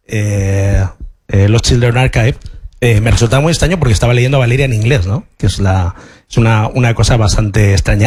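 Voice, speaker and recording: A man's voice: medium pitch, a little mellow. A man with a Spanish accent from Spain asking the author a question from the audience, hesitant and full of fillers. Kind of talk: question from the floor. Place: auditorium.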